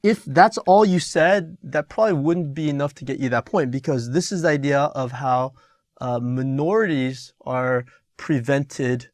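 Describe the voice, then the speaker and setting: A middle-aged man teaching an exam review lesson, talking with many pauses, fillers and restarts. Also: mid-range voice, no room sound, studio quality. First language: Korean.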